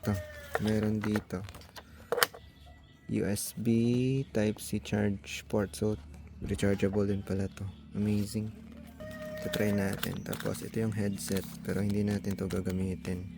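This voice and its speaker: Male Filipino vlogger, deep gravelly voice, casual unboxing style, sprinkles in English tech terms. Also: flat delivery, measured pace, over music. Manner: tentative, mixes English technical words into his talk. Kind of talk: casual hands-on unboxing and gadget tutorial, thinking aloud.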